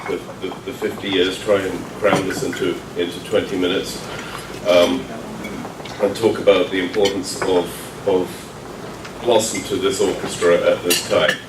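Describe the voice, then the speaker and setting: Guest speaker: genial Englishman, deep register, informal talk, noisy roomy recording. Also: little pitch movement, normal speed. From London, England. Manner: genial, joking, self-deprecating. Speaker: guest speaker, orchestra executive. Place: meeting room during a breakfast gathering. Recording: roomy, distant mic, clattering dishes, noisy.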